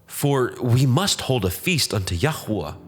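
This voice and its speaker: A man's voice: mid-range voice, very animated, moderate pace, fairly bright tone, pitched above the performer's own voice. A man voicing a bold Hebrew prophet in a dramatized scripture reading, declamatory and insistent. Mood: firm.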